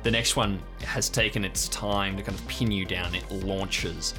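Low voiced guy running a tabletop game, describing stuff vividly, guitar music going behind him. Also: steady tone, measured pace. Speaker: game master of an improvised audio drama. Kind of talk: improvised tabletop game narration.

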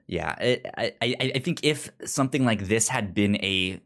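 A man's voice: lowish voice, animated, normal speed, a bit bright. A man co-hosting a podcast, casually discussing comic books and informally acting out bits of paraphrased dialogue as he goes. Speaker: podcast co-host.

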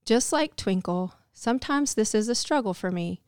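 A woman's voice: fairly high pitch, expressive, normal speed, darkish tone, clean tone, no room sound, clean studio sound. A woman giving a scripted, reflective devotional narration. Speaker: devotional speaker.

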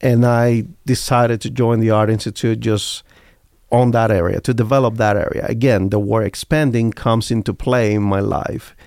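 A man's voice: lowish voice, fairly even intonation, normal speed, a little mellow, no echo, studio quality. A man from Cuba with a Cuban Spanish accent, talking earnestly and reflectively as an interview guest. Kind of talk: interview talk.

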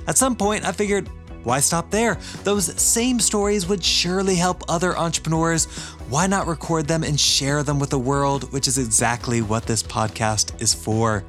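A man hosting a podcast, giving a scripted introduction and ad read in a polished, measured way. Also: fairly high pitch, a bit bright, soft background music. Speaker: podcast host, university teacher.

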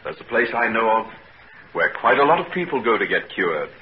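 Earnest, kindly doctor, muffled old radio. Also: male voice, fairly low pitch, very animated, brisk. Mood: tender, coaxing. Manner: gentle, reassuring, solemnly determined. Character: earnest, kindly male doctor soothing a child patient. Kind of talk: 1940s Australian radio drama acting. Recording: muffled, noisy 1940s radio recording.

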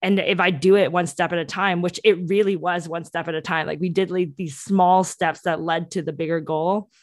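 A woman's voice: fairly low pitch, fairly even intonation, normal speed, no room sound, studio quality. A young woman in her twenties whose first language is Cantonese, telling her story eagerly in a podcast interview with many restarts. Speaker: entrepreneur guest.

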